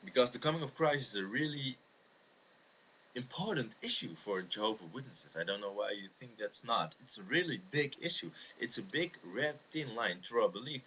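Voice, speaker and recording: A man with a Dutch accent explains his views in a halting, earnest way, speaking unscripted into a webcam. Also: medium pitch, bright, forceful tone. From the Netherlands. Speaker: amateur video maker answering a viewer's question. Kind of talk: unscripted spoken video response on religion. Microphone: webcam microphone. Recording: noisy home webcam recording.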